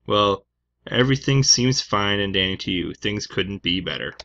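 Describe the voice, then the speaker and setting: A man's voice: lowish voice, lively intonation, normal speed, bright, forceful tone, dull audio. A man voicing an upset, defiant college-age daughter in game dialogue, hesitant and protesting. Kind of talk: reading game dialogue aloud.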